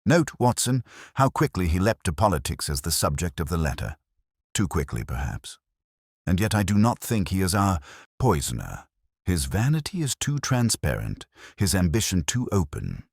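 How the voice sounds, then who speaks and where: A man voicing a calm, incisive consulting detective in a mystery audiobook, with a crisp, deliberate manner. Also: deep voice, no room sound, clean studio sound, voice pushed up, full character voice. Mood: probing, thoughtful.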